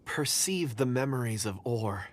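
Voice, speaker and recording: A man's voice: medium pitch, animated, a little slow, background noise, natural voice. An actor playing an earnest, polite man with mystical senses in a video game, explaining courteously.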